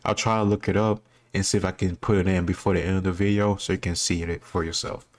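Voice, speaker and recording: A man's voice: low-pitched, flat delivery, quick pace. A man speaking informally as a host, reacting casually and thinking aloud as he answers quiz questions.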